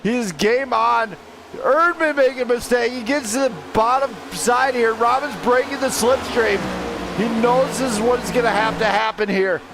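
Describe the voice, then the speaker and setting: A man calling a live sim race play-by-play, excitable and shouting driver names. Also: very high voice, normal speed. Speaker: sim racing commentator. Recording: racing game engine noise behind. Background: car engine roar from the game.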